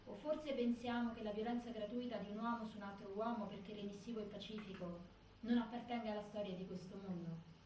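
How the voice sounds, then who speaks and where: A woman's voice: mid-range voice, steady tone, a little fast, darkish tone, close to an everyday voice. A woman performing a formal, rhetorical stage monologue as someone arguing indignantly against a judicial ruling. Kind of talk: scripted theatrical monologue. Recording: very echoey, distant, faint noise.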